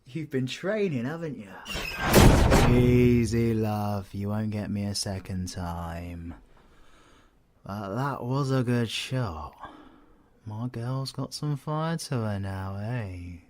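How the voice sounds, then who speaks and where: Slow-talking cocky British supervillain, acted by a man. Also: lowish voice, animated, darkish tone. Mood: cocky, taunting. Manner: taunting, slangy, swears, teasing endearments. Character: cocky menacing British supervillain, flirtatious and taunting. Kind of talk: audio roleplay, villain ASMR acting.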